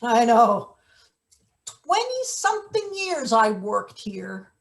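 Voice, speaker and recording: Woman acting slow, menacing, sardonic factory veteran. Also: on the high side, big pitch swings, full character voice. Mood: suspicious, exasperated. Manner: sardonic, interrogating, theatrical threats. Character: menacing, sardonic late middle-aged maintenance worker, bitter bully. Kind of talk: live play table read, satirical stage acting.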